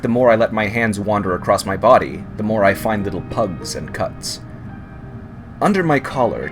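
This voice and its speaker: A man reading a first-person scary story aloud in a measured, wry, unhurried way. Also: fairly low pitch. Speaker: horror story reader. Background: background music.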